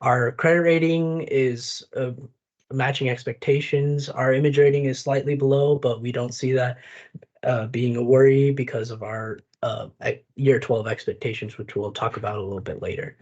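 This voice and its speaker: A man delivers a prepared business presentation. His voice sits at a middling pitch. The recording is dull and lo-fi, lacking crispness in the sound. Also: fairly even intonation, moderate pace, darkish tone. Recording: dull lo-fi audio.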